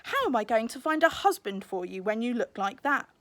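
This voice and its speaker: A stern storybook father acted out by a woman reader, blustering and fretting over his child. The pitch sits on the high side, and the sound is dry and close. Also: sing-song, a little fast, fairly bright tone, dry recording. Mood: fretful, scolding. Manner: blustering, exclaiming. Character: Lackland, stern fretful father, master firework maker.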